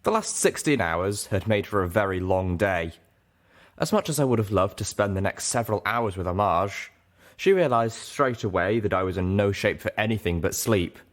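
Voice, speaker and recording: Low-voiced man as a weary, earnest storyteller. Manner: measured, deliberate storytelling. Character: young mare narrator, weary, earnest, self-doubting. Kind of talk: scripted first-person audiobook narration.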